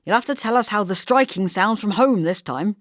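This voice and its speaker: A woman's voice: mid-range voice, animated, fairly quick, dry recording, studio quality, pitched above the performer's own voice, lightly acted. Woman voicing a buoyant, hearty bell-ringer man.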